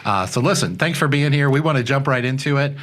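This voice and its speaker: Fast-talking, upbeat male podcast host. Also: medium pitch, fairly bright tone. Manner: upbeat, chatty, laughs easily. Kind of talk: casual interview hosting.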